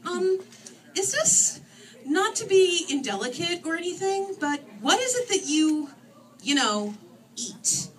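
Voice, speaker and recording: A woman's voice: extremely high pitch, sing-song, slow, voice pushed up, heavily acted. A woman voicing a wry, exasperated young heroine of an urban fantasy novel, with emphatic delivery. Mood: wry, awkward. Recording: distant microphone in a room.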